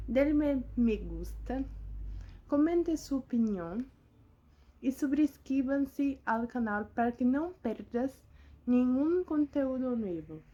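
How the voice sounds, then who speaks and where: A non-native-speaking woman hosts a story channel with a careful, slightly halting intro. She talks slowly in a fairly high, mellow voice. The recording sounds dull and lo-fi. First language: not Spanish. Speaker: channel host.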